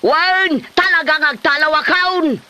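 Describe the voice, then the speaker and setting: A woman's voice: very high voice, slow, sharp tone, full character voice. A woman playing a fed-up, defiant old wife and mother in an Ilocano comedy radio drama, with an Ilocano accent and a combative, nagging manner.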